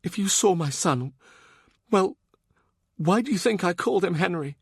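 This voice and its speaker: A man's voice: sits high, expressive, normal speed, creaky, breathy edge, no room sound, clean studio sound, pitched above the performer's own voice, exaggerated voice. A man voicing a young widowed noblewoman in an audiobook, fretful, confiding and wistful. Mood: bitter, confiding.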